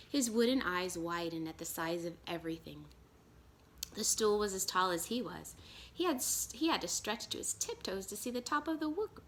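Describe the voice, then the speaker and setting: A woman reading a children's storybook aloud as a storyteller, expressive and emphatic. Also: mid-range voice, a little hiss or hum. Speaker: storyteller and school educator.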